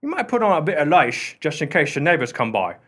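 A man's voice: on the high side, big pitch swings, fast, a bit bright. An actor playing an irreverent working-class married man in a radio play, folksy and cheeky in his speech. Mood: cheeky, coaxing. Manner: cheeky, familiar, unflappable.

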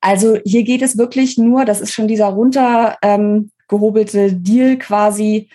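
A woman speaking as an interview guest, giving opinionated, explanatory political analysis in a conversational way, pausing between phrases. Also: mid-range voice, steady tone, mellow.

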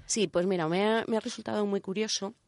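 A woman's voice: mid-range voice, fairly even intonation, fairly quick, a little mellow, no echo. Spanish woman explaining casually, dry close sound. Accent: Spain. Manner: colloquial, checks in with listeners using short tag questions. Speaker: radio show presenter of a science segment. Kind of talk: casual explanatory radio chat.

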